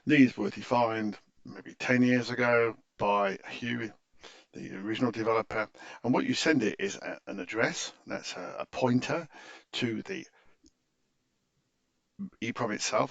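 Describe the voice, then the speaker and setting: A man's voice: medium pitch, unhurried, gravelly, pauses often, fairly bright tone, no room sound. A man narrating an informal technical tutorial in a hesitant, stop-start way, often correcting himself, in a slightly muffled close-miked recording. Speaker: tutorial presenter.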